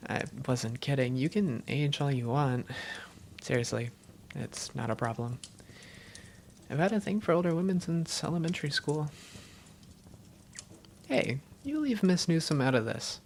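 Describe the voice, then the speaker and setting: Woman playing a low, creaky-voiced vampire girlfriend. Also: a little mellow, a little hiss or hum. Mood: teasing, affectionate.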